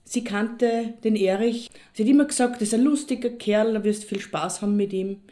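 Actress as a mellow, wry Austrian divorcee. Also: female voice, mid-range voice, moderate pace, close to an everyday voice. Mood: wry, matter-of-fact. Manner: matter-of-fact, wry storytelling. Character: reluctant divorced woman recounting a matchmaking party. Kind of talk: audio drama monologue, conversational anecdote.

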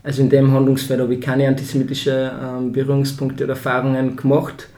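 A man's voice: mid-range voice, completely flat delivery, fast talker, mellow. A young man, a social worker chatting as a podcast guest in reflective, winding sentences full of fillers. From born in Israel, raised in Austria. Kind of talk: casual conversation. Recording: roomy echo.

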